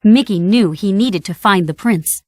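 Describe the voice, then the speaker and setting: A woman narrating a read-along storybook in an animated, expressive way. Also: medium pitch, moderate pace, dry recording, clean studio sound.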